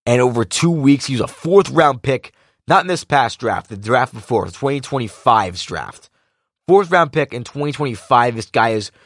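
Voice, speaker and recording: A young man with a slightly raspy voice, casually recapping a football game as a podcast host, rambling and correcting himself like an excited fan. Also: fairly low pitch, no echo, clean studio sound. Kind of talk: casual sports recap monologue.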